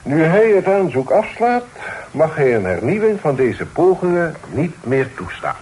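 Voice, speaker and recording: An actor playing a sober, dignified middle-aged father, speaking formally and deliberately in a period radio play. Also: fairly high pitch, big pitch swings, darkish tone, slightly rough, full character voice, rough recording. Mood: grave, measured. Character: sober, reasonable, dignified father of a genteel family. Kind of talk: period radio drama acting. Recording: old radio recording with faint noise.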